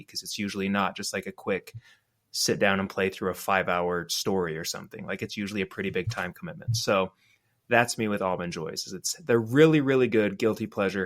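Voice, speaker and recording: A man's voice: low voice, a bit bright. A man chatting casually as a podcast panelist, informal and opinionated. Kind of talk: casual chat about games and candy.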